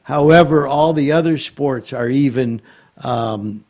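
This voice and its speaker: A man hosting a casual talk show, speaking haltingly with many filler sounds. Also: medium pitch, a little mellow. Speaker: host and law firm founder.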